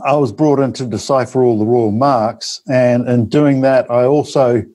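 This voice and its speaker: NZ-man in his fifties, interview guest, dark mellow tone, dry sound, rambling delivery. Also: medium pitch, fairly even intonation, moderate pace, no echo. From New Zealand. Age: 50s. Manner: rambling, many ums and tag questions. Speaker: guest author. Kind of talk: interview monologue.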